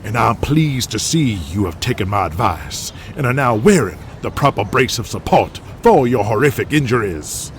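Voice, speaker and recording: Old lawyer in an audio drama, super formal n pompous, voice goes up and down, some noise behind him. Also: male voice, on the low side, very animated, moderate pace, hardly any pauses. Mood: stern, indignant.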